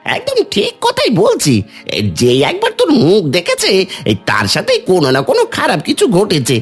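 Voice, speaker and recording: Man acting a husky, hot-tempered village woodcutter. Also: high voice, wildly swooping pitch, normal speed, soft background music, full character voice. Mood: irate. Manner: agitated scolding with stuttered exclamations. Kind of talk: cartoon voice acting.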